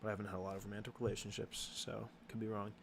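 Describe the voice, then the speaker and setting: A young man hosting a casual livestream Q and A, answering chat questions in a halting way with many ums and restarts. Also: lowish voice, little pitch movement, vocal fry, a little hiss or hum.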